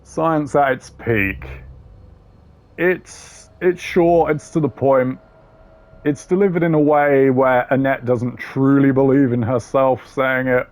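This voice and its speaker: A man's voice: mid-range voice, steady tone, slow pace, darkish tone. A man hosting a gaming video with casual, wry, sardonic commentary and some swearing. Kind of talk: casual tier-list commentary.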